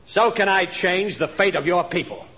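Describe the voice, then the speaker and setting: An actor playing a sly, smug swindling stage magician and villain in an old radio adventure, theatrical, oily and taunting. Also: male voice, sits high, expressive, normal speed, pushed and bright, exaggerated voice. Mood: threatening, commanding. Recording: dull old radio recording.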